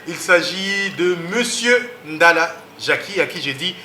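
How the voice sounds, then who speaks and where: A man's voice: high-pitched, lively intonation, measured pace, pushed and bright. A man hosting a TV talk show, speaking emphatically and pushing up on key words, with hesitant fillers. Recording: roomy studio echo.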